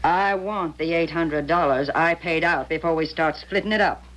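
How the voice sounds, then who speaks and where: A woman's voice: low voice, moderate pace, a bit bright. An actress playing a tough, grasping old American country woman in a radio drama, with blunt, folksy, drawling speech. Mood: grasping, testy. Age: older. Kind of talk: 1970s radio drama acting. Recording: noisy old radio broadcast recording.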